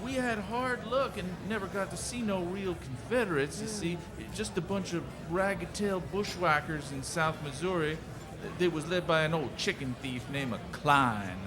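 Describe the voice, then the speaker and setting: A man's voice: high voice, normal speed, music in the background, close to an everyday voice. A man playing a scrawny, naive drifter in a western radio drama, with a hesitant rustic drawl. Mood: meek, naive. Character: scrawny, simple-minded, meek male drifter, ex-Union soldier. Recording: live stage with audience noise.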